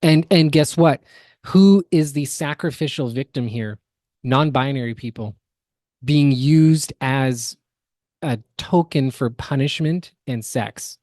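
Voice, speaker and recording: A woman's voice: a bass voice, slow, dark tone, no echo, studio quality. A woman co-hosting a podcast, giving casual reaction commentary in an analytical, critical, explanatory manner. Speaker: podcast co-host.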